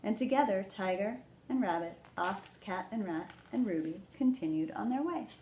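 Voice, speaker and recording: Woman, storytime host, soft dark tone, gentle simple phrasing for children, echoey room with some background noise. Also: mid-range voice, expressive, moderate pace. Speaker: library storytime host reading aloud. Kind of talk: children's picture book read-aloud. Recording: roomy echo, background noise.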